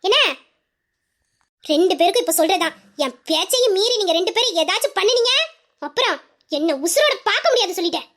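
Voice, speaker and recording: A woman's voice: very high voice, expressive, a little fast, pauses often, a bit bright. A woman voicing a stern mother who threatens her grown daughters in a dramatized story. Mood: stern, threatening. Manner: harsh, commanding.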